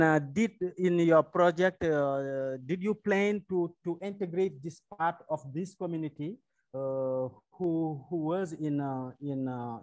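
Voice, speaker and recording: A middle-aged man, a native French speaker with a French-speaking accent, asking questions haltingly in English on a video call. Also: fairly high pitch, dry recording, poor audio. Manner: hesitant, halting second-language English. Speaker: webinar participant asking questions. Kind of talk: spontaneous audience question. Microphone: wired earphone mic held near mouth.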